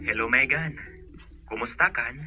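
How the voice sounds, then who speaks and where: An actor playing a tender, devoted young suitor in a radio drama, speaking in a sincere, sentimental way. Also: male voice, medium pitch, moderate pace, pushed and bright, slightly rough, faint music behind. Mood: polite, hopeful.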